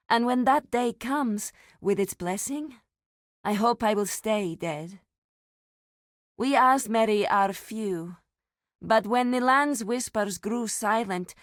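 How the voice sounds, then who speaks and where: An actress voicing a solemn druidic keeper of a sacred grove in a video game, speaking in a measured, formal, grave manner. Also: female voice, medium pitch, no echo, clean studio sound. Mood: earnest, measured. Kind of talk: scripted game dialogue.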